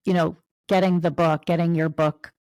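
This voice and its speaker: A woman's voice: on the low side, little pitch movement, moderate pace, a little mellow, no echo, clean studio sound. A woman co-hosting a podcast, asking questions in a loose conversational way with many fillers. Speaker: podcast co-host. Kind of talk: casual interview chat.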